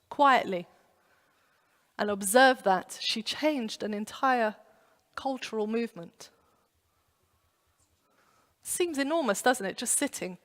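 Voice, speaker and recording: Woman preaching in a reverberant hall. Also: a little high. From London. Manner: animated storytelling with acted-out inner voices. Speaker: pastor. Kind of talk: youth sermon. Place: church.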